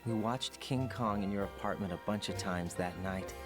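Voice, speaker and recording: A man's voice: on the low side, normal speed, loud music behind the voice, close to an everyday voice. An actor playing an earnest young male operative talking over a radio link. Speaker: soldier operative.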